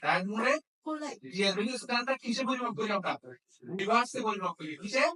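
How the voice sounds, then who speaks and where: A man's voice: high-pitched, fast talker, bright, forceful tone. A man teaching a hands-on repair class, often checking understanding with questions. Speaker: phone repair instructor. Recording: echoey room, distant microphone.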